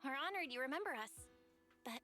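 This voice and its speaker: A woman's voice: sits high, animated, brisk, bright, forceful tone. A woman playing a polite, curious young female investigator in a video game. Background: background music.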